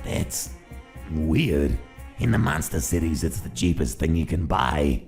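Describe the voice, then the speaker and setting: Smug monster gangster for comic dub, gravel-throated and slow, sinister drawl. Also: male voice, a bass voice, big pitch swings, darkish tone, soft background music, heavily acted. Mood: smug, unsettling. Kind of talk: fan comic dub character acting.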